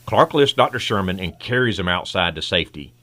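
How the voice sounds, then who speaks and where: A man's voice: sits low, lively intonation, fairly quick, pushed and bright. A man giving dramatic, scripted narration as the narrator of an old-style superhero radio drama.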